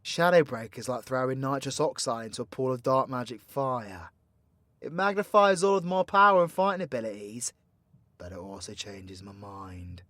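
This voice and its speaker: A man's voice: mid-range voice, normal speed, a bit bright, no echo, lightly acted. A British man playing a cocky, foul-mouthed warlock villain in an audio roleplay, with a brash, theatrical manner and crude slang. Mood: brooding, confiding.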